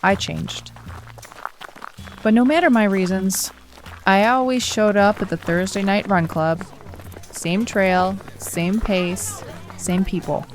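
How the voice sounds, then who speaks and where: A woman's voice: medium pitch, slow, long pauses, soft background music, lightly acted. A woman playing a determined, grieving young runner in an audio drama, wry, guarded and understated. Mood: wistful, reflective. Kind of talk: audio drama acting, first-person narration and dialogue.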